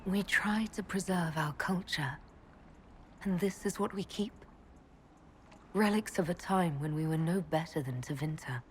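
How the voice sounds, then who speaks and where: An actress playing a proud, wounded young elf mage in love, speaking earnestly and directly in a video game. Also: female voice, on the low side, measured pace, slight background noise, lightly acted. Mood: hurt, bitter. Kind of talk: video game cutscene acting.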